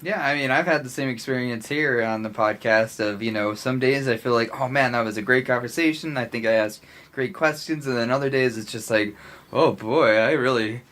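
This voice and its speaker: A man's voice: mid-range voice, normal speed, fairly bright tone. A man co-hosting a podcast, chatting casually in an encouraging, somewhat hesitant way. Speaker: podcast co-host. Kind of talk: casual chat.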